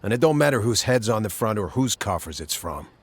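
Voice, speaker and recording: A man's voice: lowish voice, lively intonation, a little fast, husky. A man playing a world-weary, blunt monster-hunting mercenary in a fantasy game, laconic and matter-of-fact. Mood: blunt, sardonic. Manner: laconic, matter-of-fact, clipped colloquial phrasing. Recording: background music under the dialogue.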